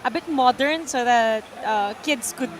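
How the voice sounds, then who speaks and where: A woman's voice: sits high, moderate pace, background noise. A woman from the Philippines, a game developer, chattily explaining her game in an interview.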